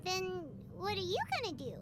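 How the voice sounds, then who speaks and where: A woman's voice: very high voice, expressive, moderate pace, very noisy. A woman voicing a tiny, chatty fairy-like girl companion in a video game, chirpy and eager, referring to herself in the third person. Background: loud game music.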